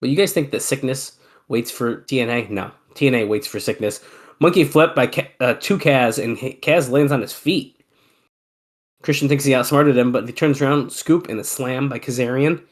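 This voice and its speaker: A man's voice: mid-range voice, normal speed. Sick man calling wrestling moves. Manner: relaxed play-by-play mixed with banter. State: sick with a cold, congested. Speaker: podcast co-host. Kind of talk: casual wrestling commentary chat.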